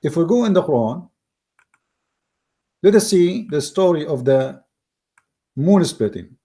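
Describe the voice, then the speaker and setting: A man with an Arabic accent whose first language is Arabic, giving argumentative commentary in mocking, emphatic English with repeated words and halting restarts. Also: a little high, expressive, mellow. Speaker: online commentator. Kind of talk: argumentative commentary reading from an article.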